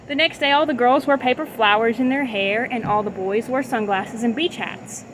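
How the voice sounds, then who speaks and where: A woman's voice: fairly high pitch, normal speed, a bit bright, a little hiss or hum, low-quality recording. A woman reading a children's book aloud as a storyteller. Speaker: storybook reader.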